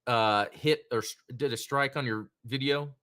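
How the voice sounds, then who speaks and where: A man hosts a relaxed live stream and talks in loose, rambling sentences. He drifts from one thought to the next, then stops to read out names from the chat. His voice sits at an ordinary middle pitch. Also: moderate pace, clear, resonant tone. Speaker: podcast host.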